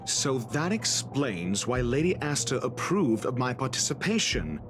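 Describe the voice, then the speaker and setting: A man's voice: medium pitch, very animated, measured pace. Haughty male scholar interrogator, music behind. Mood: measured, knowing. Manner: theatrical, condescending, articulate. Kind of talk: scripted video game voice acting.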